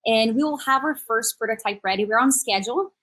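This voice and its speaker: A woman's voice: on the high side, fairly quick, fairly bright tone. A woman in her thirties from Brazil with a Brazilian Portuguese accent, a native Portuguese speaker, explaining her startup in an upbeat interview with many "uh" fillers. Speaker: startup executive guest. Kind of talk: conversational interview on a panel.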